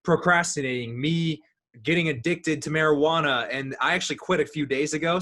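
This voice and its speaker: A man of thirty hosting a casual podcast talk, relaxed and rambling, with many ums and likes. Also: mid-range voice. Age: 30s. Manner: relaxed, rambling, filler-heavy. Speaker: podcast host.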